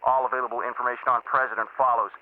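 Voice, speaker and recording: An actor playing a brisk, formal military radio operator in an audio drama, clipped and procedural, through a radio filter. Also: male voice, medium pitch, little pitch movement. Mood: brisk, businesslike. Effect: two-way radio filter. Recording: tinny, noisy two-way radio link.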